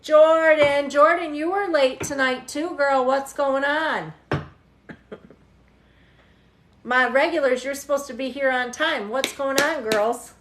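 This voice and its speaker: Brief: woman in her 40s, a bit high-pitched, teasing livestream tarot host, echoey room. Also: slow pace, fairly bright tone, a little hiss or hum. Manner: chatty, teasing, laughs often. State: tired. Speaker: tarot reader hosting a livestream. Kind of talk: casual livestream chat with viewers.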